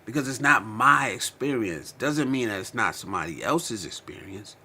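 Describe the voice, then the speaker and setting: A man's voice: on the low side, lively intonation, moderate pace, pushed and bright, slightly rough. An American man chatting casually as a livestream host, slangy and informal, repeating phrases for emphasis. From Connecticut, United States. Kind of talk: casual chat, livestream commentary answering viewer comments.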